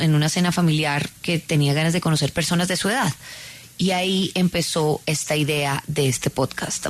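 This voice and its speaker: A woman radio host introducing a guest and asking him questions in a conversational way. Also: lowish voice. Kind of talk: radio interview.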